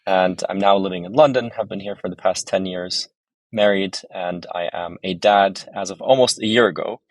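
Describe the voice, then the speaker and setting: A man in his thirties from Germany, raised abroad, giving measured, articulate answers as a podcast guest. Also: low voice, dry recording, low-quality recording. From Germany, raised abroad in several countries. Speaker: podcast guest, startup founder. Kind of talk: conversational interview answers.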